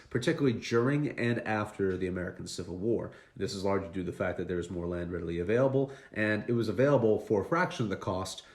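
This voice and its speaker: Wry low-voiced man telling history. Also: darkish tone. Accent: Pacific Northwest. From Vancouver Island, Canada. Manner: conversational, wry asides. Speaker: history video host. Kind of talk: casual history narration. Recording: roomy echo.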